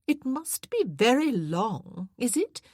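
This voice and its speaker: A woman voicing a disapproving, critical aunt who speaks slowly. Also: fairly high pitch, big pitch swings, a little mellow, husky, no room sound, clean studio sound, pitched above the performer's own voice, exaggerated voice.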